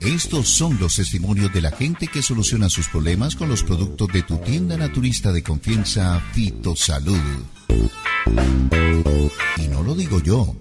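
A man's voice: lowish voice, sing-song, normal speed, loud music behind the voice. A man, working as a radio host and delivering a testimonial-style advert like an announcer.

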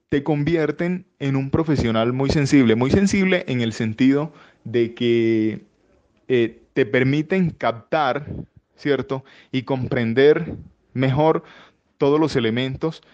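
Colombian man, halting explainer, dull audio. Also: mid-range voice, darkish tone. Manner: halting, emphatic repetitions, frequent tag questions. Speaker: interview guest, professional voice-over announcer. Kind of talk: explanatory interview talk.

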